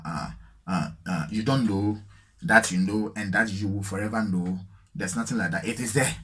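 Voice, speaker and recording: A man from Ghana with a Ghanaian accent, talking alone to his viewers in a halting, reflective way, full of fillers and repeated words. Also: fairly low pitch, a bit bright, husky. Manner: slow, reflective, repeats phrases for emphasis. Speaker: solo vlogger. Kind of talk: unscripted spiritual musing. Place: alone, lighting fading.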